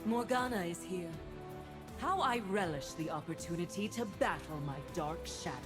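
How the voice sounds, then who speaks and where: A woman's voice: fairly low pitch, lively intonation, normal speed, music in the background, natural voice. A woman voicing a proud, zealous winged warrior sister in a video game, imperious, solemn and cutting. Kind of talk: theatrical video game voice acting.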